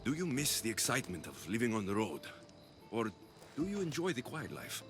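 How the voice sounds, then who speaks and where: A young, passionate warrior devoted to his family in a game drama. The voice is hugely animated and emotional, with strong music running behind it. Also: medium pitch, brisk. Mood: relaxed, teasing. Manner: emotional, forceful when roused. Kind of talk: dramatic video game acting.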